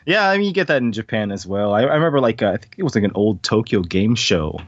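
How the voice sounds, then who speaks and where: A man's voice: fairly low pitch, very animated, quick pace, no room sound. Laid-back man from Hawaii, muffled. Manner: laid-back, rambling with many fillers. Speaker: interview guest. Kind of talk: casual conversational interview. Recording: dull lo-fi dry audio.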